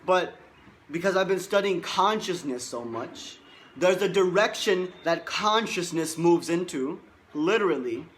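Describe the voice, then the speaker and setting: A man's voice: high voice, expressive, measured pace, a bit bright, slight background noise. A man in his twenties, a life coach giving a boastful, emphatic motivational monologue and repeating himself for stress. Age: 20s.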